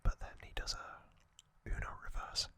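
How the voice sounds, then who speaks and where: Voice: unhurried, sharp tone. An ASMR host whispering a recap in a conversational, slightly hesitant way.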